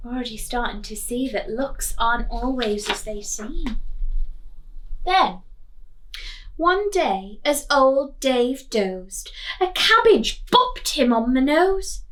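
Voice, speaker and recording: A woman with a high-pitched voice leads a children's storytime at a very unhurried pace. She sounds like a teacher, reading expressively and checking in with questions in a deliberate way. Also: fairly bright tone, low-quality recording. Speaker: primary school teacher reading a picture book to children.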